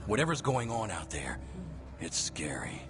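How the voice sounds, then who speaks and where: A man acting a gruff, sombre elf ranger leader in a video game cutscene. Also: fairly low pitch, big pitch swings, a little slow, loud music behind the voice, heavily acted. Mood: sombre, terse.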